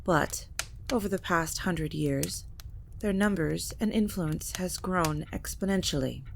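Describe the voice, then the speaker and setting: A stately, centuries-old vampire matriarch, acted by a woman for an audio drama. Her voice sits a little low and her delivery is solemn, formal and commanding. Some noise sits behind the recording. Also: a little slow, creaky. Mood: grave. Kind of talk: scripted audio drama acting.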